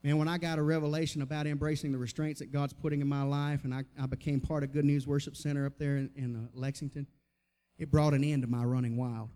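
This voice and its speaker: A man's voice: on the high side, little pitch movement, quick pace, dark tone. A man with a Southern American accent preaching a charismatic church sermon, folksy and colloquial, exhorting his listeners and calling on them to respond. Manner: exhortatory, with rhetorical questions and calls for response. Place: church, preaching to a gathered congregation.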